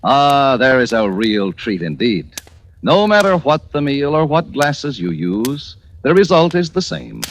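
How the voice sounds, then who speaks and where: Animated male 1940s radio pitchman. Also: unhurried. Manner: polished, emphatic salesmanship. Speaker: commercial announcer. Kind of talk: 1940s radio advertisement read. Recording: hissy old radio recording.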